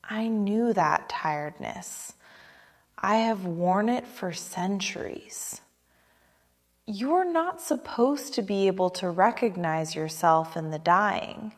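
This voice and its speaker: A woman playing a proud, melancholy, centuries-old female spirit who sings death, narrating a gothic audio drama in a solemn, hushed manner. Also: mid-range voice, animated. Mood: hushed, haunted. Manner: solemn, hushed, deliberate storytelling delivery. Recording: long cavernous reverb.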